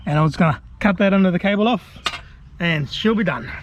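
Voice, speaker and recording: A man's voice: high-pitched, fairly quick, lo-fi sound, a little hiss or hum. A man giving a laid-back, self-deprecating hands-on DIY tutorial, chatting colloquially to his viewers. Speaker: vlogger presenting a DIY car install.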